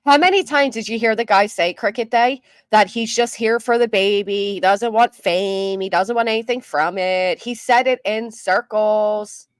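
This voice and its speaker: A woman's voice: medium pitch, normal speed, few pauses, clear, resonant tone, dry recording, clean studio sound. A woman giving casual commentary on a live stream, reading chat comments aloud with a skeptical tone.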